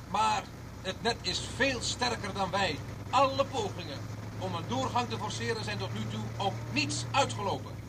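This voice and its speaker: An actor in a radio drama playing a showy, pompous tour guide who declaims to his listeners. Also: high voice. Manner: declamatory, grandiose patter to a crowd. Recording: noisy old radio recording, distant from mic.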